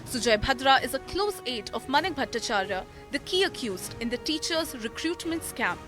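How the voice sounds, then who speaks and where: A woman's voice: high voice, animated, normal speed. A woman narrating a scripted television news report as a voice-over. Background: music bed under voice-over.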